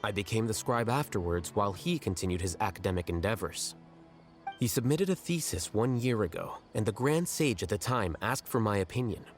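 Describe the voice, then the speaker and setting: An actor playing a blunt, aloof male scholar in a game, measured and condescending. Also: lowish voice, animated, soft background music, lightly acted. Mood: cool. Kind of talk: game voice acting.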